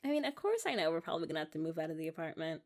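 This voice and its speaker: A woman's voice: low voice, sing-song, racing, pitched below the performer's own voice. A young American woman playing an eager, affectionate wife in an intimate ASMR romance roleplay, rambling and coaxing in a giggly way. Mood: playful rambling.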